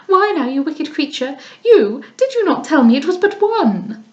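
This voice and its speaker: A woman reading aloud gives voice to a spoiled young noblewoman, mincing and affected, who complains in a high, fluttering voice that swoops all over. The room gives it a roomy echo. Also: big pitch swings, normal speed, muffled recording. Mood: petulant, coquettish.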